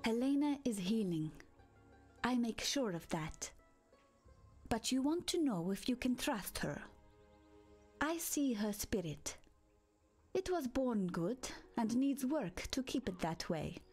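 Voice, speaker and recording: A solemn spirit woman of a tribe, talks slowly and cryptically. Her voice sits at a medium pitch, with faint music behind her. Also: darkish tone, breathy edge. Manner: measured, cryptic. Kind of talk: video game character dialogue.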